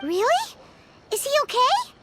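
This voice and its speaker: A woman voicing a small, feisty, childlike companion creature in a video game, squeaky and expressive. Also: measured pace, very noisy, full character voice. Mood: curious, concerned. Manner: exclamatory, expressive. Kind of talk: video game character acting.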